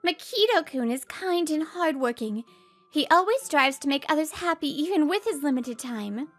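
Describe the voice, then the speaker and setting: A woman's voice: high-pitched, expressive, normal speed, soft background music. A woman voicing a poised, protective professional woman in a comic dub, speaking with composed assertiveness. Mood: calm.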